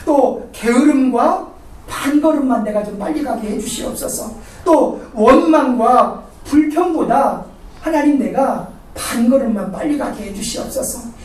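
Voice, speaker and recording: Woman leading prayer, high voice, fervent repetitive pleading, heavy echo, distant mic. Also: lively intonation, a little mellow. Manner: fervent, repetitive pleading with slowed emphatic phrases. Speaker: prayer leader. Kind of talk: spoken congregational prayer.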